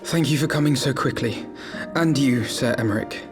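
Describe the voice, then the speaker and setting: Game role: refined, idealistic young noble played by a man, mid-pitched, eloquent and grave, music clearly underneath. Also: lightly acted. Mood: grave, grateful. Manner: formal, eloquent, measured. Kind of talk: video game cutscene acting. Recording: background music under the dialogue.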